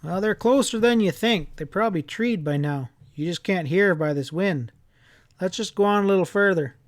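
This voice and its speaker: Determined young country boy, acted by a man, desperate and pleading, pitched up, for a storybook. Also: normal speed, no echo, natural voice. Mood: urgent, determined. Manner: earnest, pleading.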